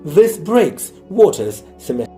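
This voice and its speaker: A man reading a science audiobook aloud in a measured, explanatory way. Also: fairly high pitch, big pitch swings, warm tone, soft background music. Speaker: audiobook reader. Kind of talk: scripted narration, reading a science book aloud.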